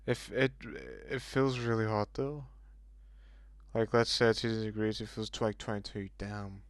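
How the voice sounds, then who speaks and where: A man's voice: fairly low pitch, level tone, moderate pace, no echo. A man casually chatting with viewers on a livestream, reacting aloud to messages and swearing now and then.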